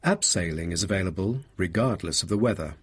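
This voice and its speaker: Man as park tour guide for a listening exam: low, slightly rough voice, welcoming, informative, measured scripted read. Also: expressive. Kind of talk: scripted informational talk to visitors.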